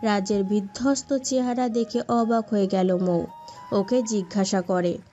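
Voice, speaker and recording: A woman's voice: medium pitch, fairly even intonation, unhurried, warm tone. A woman narrating a scripted romantic story as its storyteller. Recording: dull lo-fi sound, background music.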